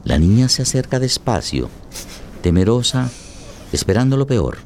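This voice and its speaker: An actor playing a grave, solemn narrator in a tragic radio play, with deliberate phrasing. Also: male voice, mid-range voice, big pitch swings, darkish tone, slight background noise. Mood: grave, tense.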